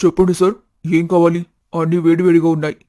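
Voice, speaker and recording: A man's voice: on the high side, completely flat delivery, fast, mellow, no echo, clean studio sound, close to an everyday voice. A man voicing a talkative, good-hearted young snack-shop owner in a family story, polite, chatty and matter-of-fact. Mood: welcoming.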